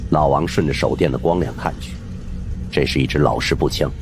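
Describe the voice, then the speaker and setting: A man narrating a suspense horror audiobook in an expressive, dramatic storytelling manner. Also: extremely low pitch, a little fast, slight background noise.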